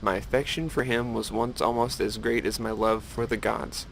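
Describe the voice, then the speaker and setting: Gravelly man reading as a solemn elf priestess. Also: lowish voice, fairly even intonation, normal speed, a bit bright, slight background noise, poor audio. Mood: wistful. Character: devout female elf priestess, solemn and sorrowful. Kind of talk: reading game quest dialogue aloud.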